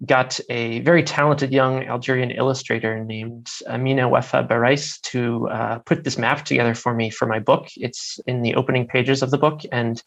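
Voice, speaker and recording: Gravelly-voiced man talking as a guest. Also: medium pitch, steady tone, moderate pace, few pauses. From United States (Maryland). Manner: thoughtful, with frequent fillers and repeats. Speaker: author guest. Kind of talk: interview conversation.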